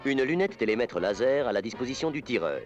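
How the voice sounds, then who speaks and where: A man narrating an instructional film in a formal, scripted, measured documentary style. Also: mid-range voice, sing-song. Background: music.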